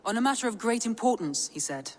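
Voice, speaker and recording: A woman's voice: on the high side, animated, quick pace, darkish tone. Composed, wry woman adviser. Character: composed female clan adviser, formal and wry. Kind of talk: video game character acting.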